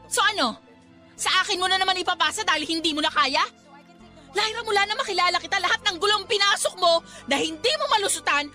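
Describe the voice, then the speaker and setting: A woman's voice: very high-pitched, sharp tone, heavily acted. A woman acting in a Tagalog radio drama as an exhausted, exasperated friend who pleads and scolds with emotional intensity.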